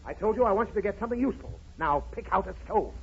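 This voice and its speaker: A man's voice: high voice, animated, very fast, gravelly, slightly rough, full character voice. An American man playing an exasperated, blustering father who scolds and protests in an old radio comedy. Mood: flustered, defensive. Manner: scolding, protesting, easily flustered. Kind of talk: 1930s radio comedy acting. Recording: muffled, hissy old 1930s radio recording.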